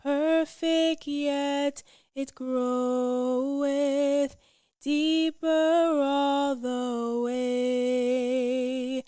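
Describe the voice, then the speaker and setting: A woman's voice: high voice, level tone, drawn-out words, dark tone, no echo, studio quality, soft background music. A woman singing a hymn. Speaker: hymn singer.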